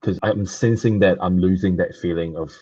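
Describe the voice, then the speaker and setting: A man's voice: sits low, fairly even intonation, normal speed, mellow, no echo, muffled recording. A young man from Taiwan, chatting casually and reflectively with many fillers. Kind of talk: casual chat.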